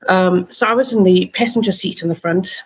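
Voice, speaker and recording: South african woman guest on a podcast, kinda husky lowish voice, earnest, lots of ums. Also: fairly quick, rough recording. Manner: earnest, many fillers and restarts. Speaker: guest, patient advocate. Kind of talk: casual interview talk.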